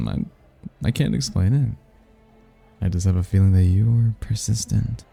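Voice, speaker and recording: Man playing a deep, velvety-dark demon charmer. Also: heavily acted. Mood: admiring, teasing. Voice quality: husky, gravelly. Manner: smooth-talking, courtly, flirtatious. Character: suave, devilishly charming male demon, flirtatious and prideful. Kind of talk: ASMR roleplay, one-sided romantic conversation. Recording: music behind the voice.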